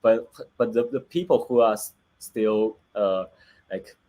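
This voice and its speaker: A man with a Chinese accent speaks slowly and haltingly, often restarting and hunting for words. His voice sits fairly low. He talks over a video call. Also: mellow. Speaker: film director. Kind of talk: remote interview.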